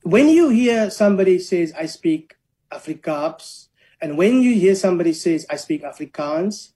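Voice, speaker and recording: An academic talks through ideas in a measured, lecturing way. The voice is mellow and warm rather than bright, and sits at a middle pitch. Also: lively intonation. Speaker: linguist and academic. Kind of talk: explaining in an interview.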